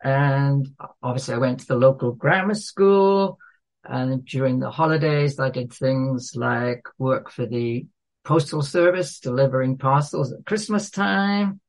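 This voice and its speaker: A man's voice: mid-range voice, measured pace. An older man from South Wales with a British accent, talking politely and a little hesitantly as an author interviewed on a podcast. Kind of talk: unhurried interview talk.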